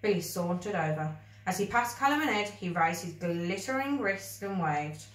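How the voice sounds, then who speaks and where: A woman reading a children's story aloud in an expressive, scripted storybook style. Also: on the low side, moderate pace. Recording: echoey room, distant mic.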